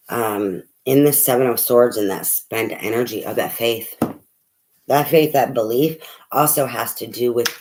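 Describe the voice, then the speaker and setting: Soft-spoken hoarse tarot reader. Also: medium pitch, slight background noise, rough recording. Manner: rambling, filler-heavy, swears casually; speaking softly. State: losing voice, hoarse. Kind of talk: casual tarot reading to camera.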